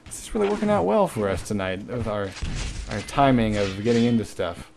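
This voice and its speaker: A man's voice: fairly low pitch, lively intonation, fairly quick, gravelly, darkish tone. A man giving casual, joking play-by-play commentary on an online game match, swearing freely. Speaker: esports commentator.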